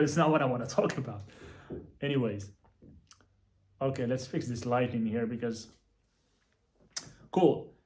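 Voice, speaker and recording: A young man from Morocco telling his life story casually to the camera, repeating himself and swearing now and then. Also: medium pitch. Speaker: vlogger, coach. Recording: echoey room.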